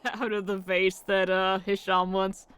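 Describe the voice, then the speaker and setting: Clear-voiced woman streaming a game. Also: mid-range voice, little pitch movement, measured pace, a bit bright. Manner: muses aloud to herself while playing. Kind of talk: live playthrough commentary, reading game dialogue aloud. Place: indoors, live stream setup.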